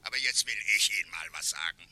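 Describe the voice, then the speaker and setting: An actor playing a pompous, callous company director in a German radio drama, speaking in an indignant, officious and dismissive way. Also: male voice, low voice, lively intonation, fast talker, sharp tone, no echo, exaggerated voice. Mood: annoyed, officious.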